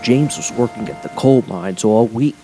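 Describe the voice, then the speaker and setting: A man's voice: on the low side, lively intonation, normal speed, warm tone, faint music behind. A man reading scripted narration for a children's story as a storyteller.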